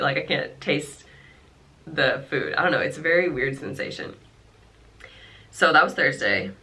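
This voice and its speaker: A woman's voice: low-pitched, pushed and bright. A young woman with a congested, nasal voice, casually chatting to the camera at home about how she has been feeling, rambling with fillers and little laughs. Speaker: vlogger. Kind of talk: casual talk to camera about her illness. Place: her home.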